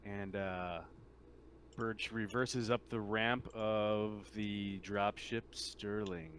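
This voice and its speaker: A man narrating a tabletop roleplaying game as game master, in an informal, halting way. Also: lowish voice, fairly even intonation, fairly bright tone, a little hiss or hum.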